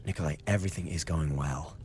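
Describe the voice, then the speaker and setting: An actor playing a glib, scheming young revolutionary in a radio drama, chatty and insinuating with a blackly flippant manner. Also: male voice, very deep voice, fast talker, a little mellow, slight background noise. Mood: conspiratorial, coaxing. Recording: studio radio drama with background ambience.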